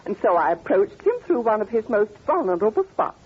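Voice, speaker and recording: An actress playing a haughty, amused older British duchess with a grand, theatrical manner, in 1940s radio style. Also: female voice, mid-range voice, big pitch swings, fairly quick, slightly rough. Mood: cool, candid. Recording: tinny, narrow old radio recording.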